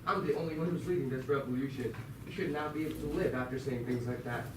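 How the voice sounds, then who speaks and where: A man's voice: mid-range voice, fast, lightly acted. A man playing a bossy, menacing French revolutionary leader in an amateur puppet show. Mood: indignant. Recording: echoey, noisy, distant mic.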